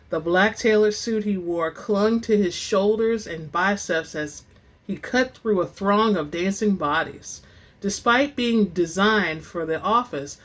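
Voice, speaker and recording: A woman hosting and reading book excerpts in a dramatic, expressive storytelling style, with informal asides. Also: lowish voice. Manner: theatrical, expressive; informal, says y'all. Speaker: host and reader of book excerpts.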